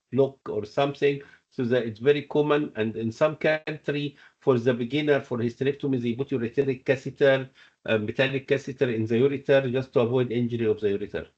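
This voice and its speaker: A man's voice: medium pitch, a little mellow. Male medical tutor on a dull online call. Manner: repeats points, checks understanding often. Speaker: medical lecturer and exam coach. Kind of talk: interactive online teaching with questions and explanations.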